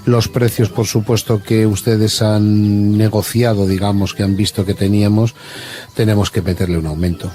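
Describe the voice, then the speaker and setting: A man's voice: lowish voice, flat delivery, moderate pace, dark tone, voice pushed down, lightly acted. A man with an accent from Spain playing a pushy, evasive campsite owner on a prank phone call, formal and repetitive. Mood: officious, evasive. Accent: Spanish, from Spain.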